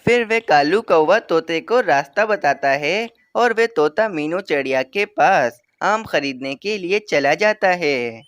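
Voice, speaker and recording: A woman narrating a children's cartoon story in a plain, steady manner. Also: fairly low pitch, measured pace, few pauses, no room sound.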